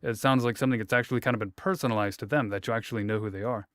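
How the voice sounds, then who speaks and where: Smooth-voiced male interview host. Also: medium pitch, steady tone, very fast, fairly bright tone, dry recording, studio quality. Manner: rambling, self-correcting questions, fond of puns. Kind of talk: interview questions, casual.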